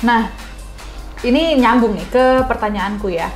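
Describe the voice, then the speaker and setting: A woman's voice: a little high, lively intonation, unhurried. A young woman hosting a casual interview in a chatty, informal way, repeating answers back as questions. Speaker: talk show host. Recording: roomy echo, faint music behind. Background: faint background music.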